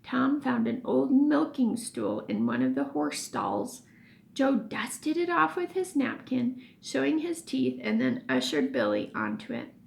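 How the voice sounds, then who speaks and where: A woman teacher reading a children's story aloud expressively, pausing for emphasis and explaining things as she goes. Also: a little high, wildly swooping pitch, vocal fry, a little mellow.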